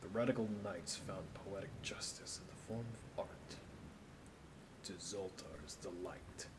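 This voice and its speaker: A man's voice: low voice, unhurried, noisy background. An actor playing a wry, scruffy injured man with a teasing, informal manner in naturalistic audio drama.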